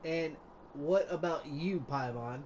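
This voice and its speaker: A woman's voice: low-pitched, slow, darkish tone, a little hiss or hum. A woman casually reading a game character's dialogue aloud as she plays. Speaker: gameplay creator reading a silent character's lines. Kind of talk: casual read-along dubbing of game dialogue. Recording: game music behind the voice.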